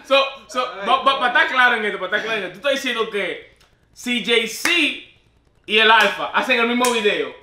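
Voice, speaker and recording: A man's voice: very high-pitched, brisk, distant mic, background noise. A man in a casual group debate. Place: small dim lounge indoors. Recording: echoey room, voices talking over.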